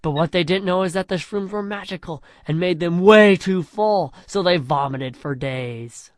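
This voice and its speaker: A young man reading a crude silly fan story aloud in a deadpan way, slowing down for dramatic chapter titles. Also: high-pitched, no echo, clean studio sound. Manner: deadpan crude comic narration with dramatic slowdowns.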